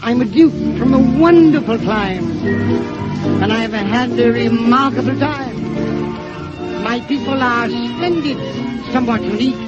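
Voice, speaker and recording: Casting: boastful, merry grand duke, high-pitched and unhurried, vintage musical radio show. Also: male voice. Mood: jolly, boastful. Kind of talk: 1930s radio musical comedy acting and patter song. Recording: dull 1932 radio recording, loud music.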